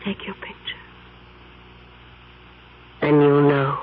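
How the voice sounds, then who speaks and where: A woman's voice: low-pitched, takes it slowly. An actress playing a seductive, scheming witch in a 1970s radio drama, purring and teasing with menace. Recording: dull 1970s radio broadcast.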